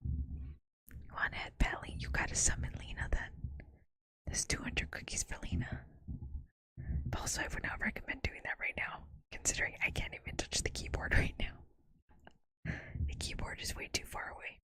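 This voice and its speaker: A livestreamer whispering playfully into a close microphone, making soft mouth sounds for an ASMR stream. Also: normal speed, pauses often, no room sound.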